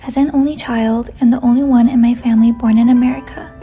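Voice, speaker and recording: A woman in her twenties from New York City, whose first language is Chinese, reading a reflective personal voice-over in a soft, measured way. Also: a little high, steady tone, highs cut off, soft background music. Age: 20s. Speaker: narrator. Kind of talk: scripted personal narration.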